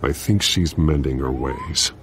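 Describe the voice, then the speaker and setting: An actor playing a grim, stoic masked vigilante in a video game, gravelly and terse. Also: male voice, rumbling low, expressive. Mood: grim, resolute. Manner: terse, deliberate.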